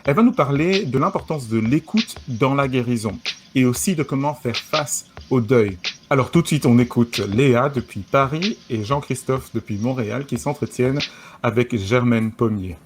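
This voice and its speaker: A man with a mid-range voice opens a podcast, speaking straight to his listeners with lively emphasis. Clearly audible clicking and noise run behind him. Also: mid-range voice, normal speed. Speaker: podcast host. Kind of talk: podcast introduction.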